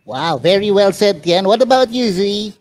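Casting: male talk show host, slightly high voice, dry studio-close sound, casual and encouraging. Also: lively intonation, normal speed, darkish tone, no echo. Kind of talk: casual interview hosting.

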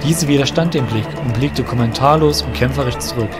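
A man's voice: medium pitch, brisk. A man narrating a scripted fantasy audio drama as its storyteller. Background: music.

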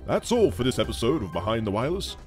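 Lively man presenting for children. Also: medium pitch, fast, soft background music. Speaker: presenter of a children's audio drama show. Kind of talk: scripted children's show presenting.

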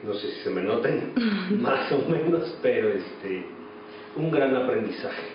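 A man's voice: medium pitch, lively intonation, a little slow. A Mexican man in his forties giving reflective answers as an actor being interviewed. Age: 40s. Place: small office indoors. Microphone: camera mic at a distance. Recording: echoey, distant, dull, noisy room.

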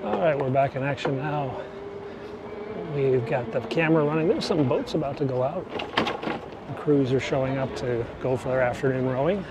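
A man's voice: mid-range voice, normal speed. A man casually narrating a bike ride through the city, rambling and correcting himself as he goes, filmed outdoors on an action camera. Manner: chatty, rambling, self-correcting. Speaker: vlogger. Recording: outdoor street and traffic noise. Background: traffic and city ambience.

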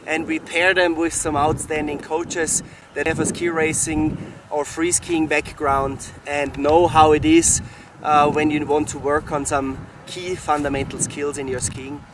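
A middle-aged man, a ski coach, talking unscripted and haltingly to camera outdoors. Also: fairly high pitch, level tone. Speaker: ski coach and camp host. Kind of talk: talk to camera, promotional recap. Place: outdoors on a snowy mountain slope. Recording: clearly noisy outdoor location sound.

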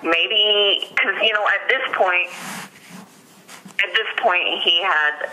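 A woman's voice: fairly low pitch, pushed and bright, slight background noise, rough recording. An American woman giving a witness account in a phone interview, hesitant and often saying "like", on a thin telephone recording. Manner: hesitant, frequent use of "like". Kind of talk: recounting events in an interview. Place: phone call.

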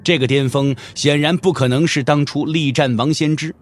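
A man's voice: a little high, steady tone, moderate pace. A man narrating a Mandarin audio drama as a scripted story, theatrical and intense.

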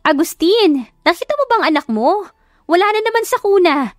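Young wife for an audio drama, high and scolding, voiced by a woman narrator. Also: animated, moderate pace, no room sound, studio quality. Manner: loud, scolding, teasing. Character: young wife, new mother, teasing.

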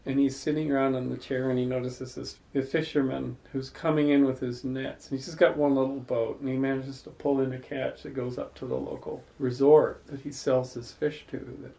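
A man's voice: medium pitch, flat delivery, moderate pace, gravelly, hardly any pauses, mellow. A man hosting a casual salon gathering, talking thoughtfully in long rambling stories, recorded indoors in a living room. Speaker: salon host. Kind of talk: reflective storytelling in casual group talk.

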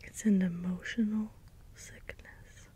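A woman playing a gentle, puzzled caretaker robot in a soft, breathy ASMR role-play whisper, with halting, deliberate delivery. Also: medium pitch, steady tone, natural voice. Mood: puzzled, caring. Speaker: ASMR performer. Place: small dim indoor space with cloth backdrop. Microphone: close ASMR microphone.

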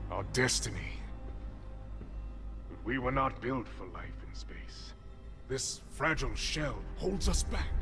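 An actor playing a calm, grandiose game villain who preaches human evolution in solemn, deliberate speech. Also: male voice, mid-range voice. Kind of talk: dramatic video game acting.

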